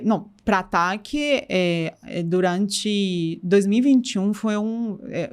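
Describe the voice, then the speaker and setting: A middle-aged woman from Colombia with a strong Colombian Spanish accent, speaking Portuguese with Spanish mixed in, as an interview guest who often restarts her sentences. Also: on the low side. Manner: thoughtful, many restarts, mixes Spanish into Portuguese. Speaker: guest, company co-founder. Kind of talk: casual interview talk.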